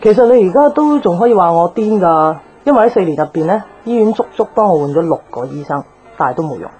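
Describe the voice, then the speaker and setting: Woman acting low-voiced, world-weary female bar owner. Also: animated, quick pace, warm tone, dull audio, natural voice. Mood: rueful, confiding. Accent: Hong Kong Cantonese. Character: jaded, tomboyish young woman running a bar, guarded. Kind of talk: naturalistic radio drama acting. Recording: music behind the voice.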